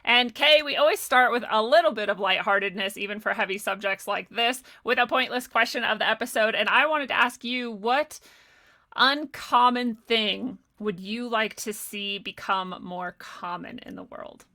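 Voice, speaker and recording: A woman's voice: fairly high pitch, normal speed, pushed and bright. A woman hosting a casual livestream interview. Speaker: livestream host.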